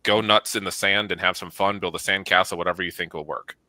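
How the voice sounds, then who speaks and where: A man's voice: low voice, fairly even intonation, fast, bright, forceful tone, no room sound. A middle-aged man explaining his work as an interview guest, informal and enthusiastic. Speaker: interview guest, studio manager. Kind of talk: casual explanatory chat.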